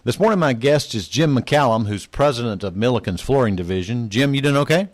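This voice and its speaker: A middle-aged man hosting a trade podcast, asking questions in a brisk, conversational way. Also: medium pitch, expressive, no room sound, studio quality. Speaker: interview host.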